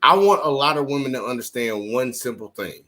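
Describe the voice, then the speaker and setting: A man's voice: medium pitch, brisk. A man, hosting a casual podcast debate and laying out his views emphatically. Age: 30s. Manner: animated, emphatic, lecturing. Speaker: podcast host.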